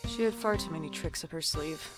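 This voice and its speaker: A woman's voice: sits low, fairly quick, natural voice. A woman playing a wry, jumpy night-shift shop worker who narrates an audio drama in a dry, sardonic way. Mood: brooding narration. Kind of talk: audio drama acting with first-person noir narration. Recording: music bed behind the voice.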